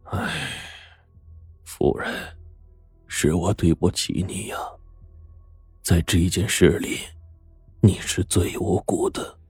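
Man playing a weary, remorseful steward, hushed and low. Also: fairly even intonation, takes it slowly, pauses often, no room sound, faint music behind, exaggerated voice. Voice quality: hushed, husky. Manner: heavy, resigned, sighing. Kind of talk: audiobook character acting.

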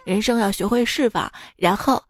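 A woman's voice: mid-range voice, normal speed, husky, no echo. A young woman hosting a chatty comedy monologue in a playful, teasing and theatrical manner. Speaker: host of a comedy joke show. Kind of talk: chatty humorous monologue over music. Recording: music bed behind the voice. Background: background music.

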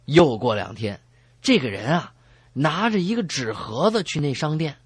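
A woman's voice: low-pitched, big pitch swings, moderate pace, breathy edge, dry recording. A woman co-hosting a comedy radio show, telling jokes in playful, teasing banter.

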